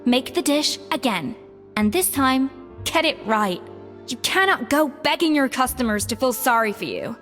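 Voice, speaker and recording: A woman's voice: high-pitched, sing-song, normal speed, fairly bright tone. A woman voicing a proud, exacting young head chef in a video game, speaking in a precise, commanding and theatrical way. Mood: stern scolding. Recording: long reverb with music behind.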